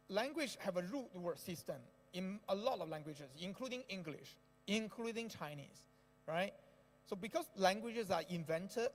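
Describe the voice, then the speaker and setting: A man's voice: high voice, in a large hall. A man whose first language is Indonesian, speaking to an audience and explaining with a frequent "right?" tag. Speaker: speaker at an education event. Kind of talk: talk to an audience.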